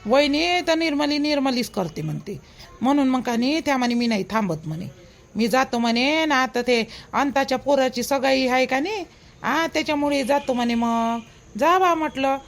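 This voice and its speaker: A woman's voice: sits high, lively intonation, normal speed, faint music behind. A woman playing a matter-of-fact, placating village woman in a cartoon, giving advice with a Varhadi Marathi accent from Vidarbha. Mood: explaining. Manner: advising, dismissive of fuss. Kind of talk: amateur cartoon dialogue in village dialect.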